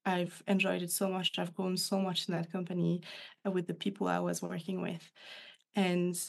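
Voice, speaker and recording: A woman's voice: lowish voice, monotone, normal speed, vocal fry. A woman with a French accent, a guest on a podcast interview, speaking in a reflective, thoughtful way. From France. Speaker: guest, new coach.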